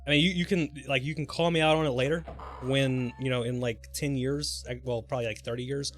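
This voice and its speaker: A man's voice: mid-range voice, steady tone, a little fast. A man chatting casually and informally on a live gaming stream, speculating in a rambling way. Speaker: game livestreamer. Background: background music.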